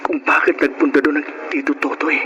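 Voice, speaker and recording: A man's voice: fairly high pitch, level tone, brisk, lightly acted. An actor playing a curious, adventurous young city man in a Filipino radio drama. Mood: hushed, curious. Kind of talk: Filipino radio drama acting with narrated thoughts. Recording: noisy radio drama recording with ambience.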